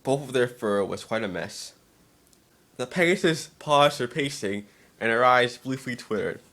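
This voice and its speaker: A man narrating a scripted fan-fiction story as a dramatic reading, expressive but now and then stumbling over words. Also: mid-range voice, a little slow.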